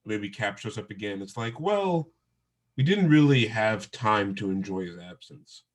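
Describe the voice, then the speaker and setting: A man co-hosting a podcast in casual chat, joking with a deadpan delivery. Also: on the low side, unhurried. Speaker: podcast co-host.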